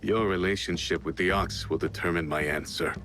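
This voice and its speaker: An actor playing a loyal, weary ronin in a video game, speaking earnestly in formal, measured phrases. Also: male voice, low voice, steady tone, music in the background, close to an everyday voice. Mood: earnest, troubled. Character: loyal, weary male ronin, gruffly honorable outcast warrior. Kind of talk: video game cutscene acting.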